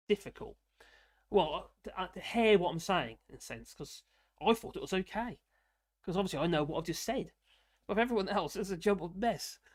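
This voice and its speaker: Man, UK, light high-ish voice, casual live chat, rambling, chuckles a lot. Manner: rambling, self-deprecating, chuckling often. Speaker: live stream host.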